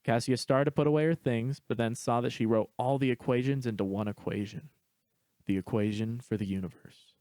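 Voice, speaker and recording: A man's voice: medium pitch, steady tone, a little fast, warm tone, dry recording. A man reading a scripted story aloud as its narrator.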